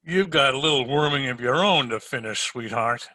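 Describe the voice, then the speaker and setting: A man's voice: medium pitch, normal speed, sharp tone, natural voice. A man playing a wisecracking, unflappable hardboiled private detective in an old-time radio drama style, wry and tough-talking. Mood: tough, needling. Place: home recording over video call.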